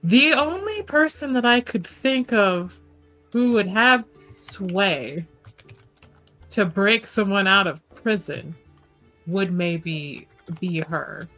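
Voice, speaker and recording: A woman playing a tabletop roleplaying game, talking casually and deliberately as she reasons aloud. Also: medium pitch, a bit bright, rough recording.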